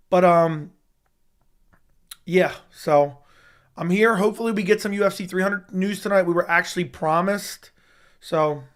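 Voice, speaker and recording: Hoarse, excitable man on a livestream. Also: sits high, unhurried, pauses often. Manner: excitable, profane, reacting to news live. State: losing his voice. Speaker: MMA YouTube host. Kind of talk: casual livestream chat.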